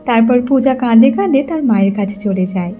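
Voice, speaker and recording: A woman's voice: on the high side, animated, fairly quick, over music. A woman narrating a cartoon moral story in a scripted storytelling style.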